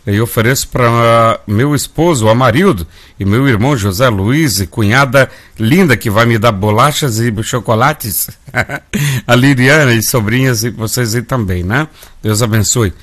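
A man's voice: medium pitch, measured pace, a bit bright. A Brazilian man hosting a live gospel radio show, folksy and calling his listeners family. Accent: Brazilian Portuguese. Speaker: radio host.

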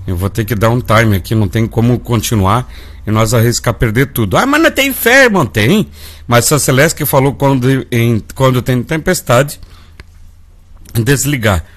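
A man's voice: medium pitch, lively intonation, normal speed. A Brazilian man hosting a live gospel radio show, folksy and calling his listeners family. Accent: Brazilian Portuguese. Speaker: radio host.

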